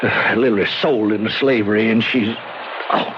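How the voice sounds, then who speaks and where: Guy playing middle-aged country doc, lowish voice, halting and earnest, old radio drama, muffled and noisy. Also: fairly even intonation, natural voice. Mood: shaken, bitter. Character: pragmatic frontier doctor, a weary father.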